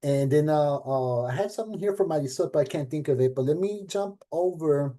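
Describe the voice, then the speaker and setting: A middle-aged man speaking casually at an event as a program director, with lots of fillers and tag questions, and he gets animated when he quotes people. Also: a little high, fairly quick. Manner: conversational, many fillers and rhetorical "right?"; animated when quoting others. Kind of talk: casual talk at an event panel.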